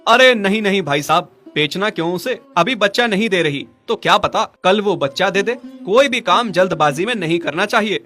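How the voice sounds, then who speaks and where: Man as a young cow owner, high male voice, bright, earnest, patient, cartoon drama, music behind. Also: expressive, moderate pace, hardly any pauses. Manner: earnest, mild.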